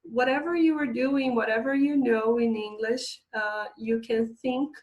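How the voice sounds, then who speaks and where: A woman's voice: fairly high pitch, rough recording. Woman teaching English. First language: Portuguese. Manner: chatty, reading slides aloud with asides. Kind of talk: online English lesson.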